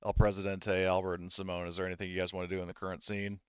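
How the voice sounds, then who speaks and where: A man's voice: low-pitched, monotone, racing, clean tone, no echo, dull audio. A man running a tabletop roleplaying game, explaining things in a rambling, conversational, improvised way. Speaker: game master.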